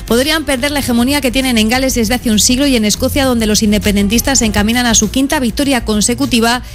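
A woman reading a scripted report as a foreign news correspondent. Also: a little high.

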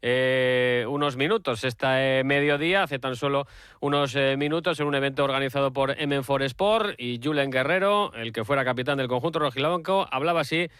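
Bright, crisp male sports radio presenter. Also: medium pitch, normal speed, no room sound, clean studio sound. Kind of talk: sports news presentation introducing interview clips.

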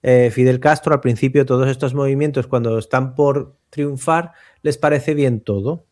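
A man's voice: medium pitch, steady tone, moderate pace, a little mellow, dry recording, clean studio sound. A man from explains literature conversationally, searching for words and often checking for agreement. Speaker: literary commentator.

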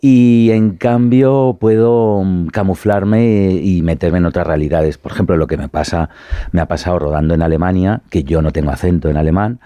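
A man's voice: low-pitched, moderate pace, dark tone, no room sound. A man, an actor chatting as a guest, hesitant and searching for words. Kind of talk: casual interview chat.

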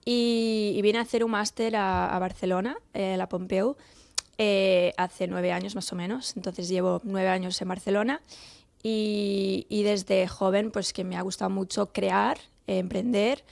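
A woman's voice: mid-range voice, steady tone, measured pace, creaky, darkish tone, no room sound. A woman from Portugal, a company founder, answering interview questions hesitantly with many fillers. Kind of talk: radio interview.

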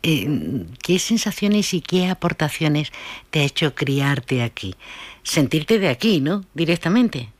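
A man's voice: a little high, expressive, a little slow, dry recording. A man interviewing in a conversational, effusive way, with rambling questions. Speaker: radio interviewer.

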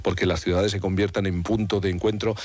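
A man's voice: low voice, little pitch movement, brisk. A man hosting a radio show, moving between scripted introductions and chat with a panel.

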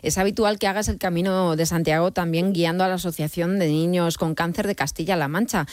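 A woman hosts a conversational interview in a Spain Spanish accent. Her voice is slightly low and recorded dry, with no room around it. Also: steady tone, a little fast, darkish tone, no room sound. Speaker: radio host interviewing.

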